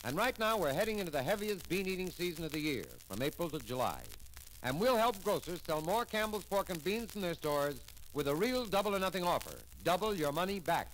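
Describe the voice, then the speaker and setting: A man hosting an old-time radio commercial with brisk, jovial salesman patter. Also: high-pitched, animated, a bit bright, slight background noise, poor audio. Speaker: radio show host.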